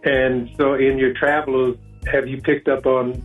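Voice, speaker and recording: A man's voice: medium pitch, level tone, unhurried, faint music behind. A man hosting a radio talk show, easygoing and agreeable with his guest. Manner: easygoing, agreeable, short affirmations. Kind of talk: radio interview. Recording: tinny phone line.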